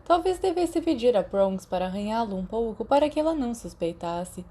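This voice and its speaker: A woman's voice: fairly high pitch, animated, fairly quick, warm tone. A woman with a Brazilian accent reading scripted audiobook narration as a fan-fiction narrator. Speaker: podfic narrator.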